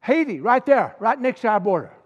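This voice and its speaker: A man's voice: extremely high pitch, sing-song, brisk, husky, in a large hall, dull audio. A middle-aged man preaching a sermon with passion, repeating key lines and building to emphatic peaks.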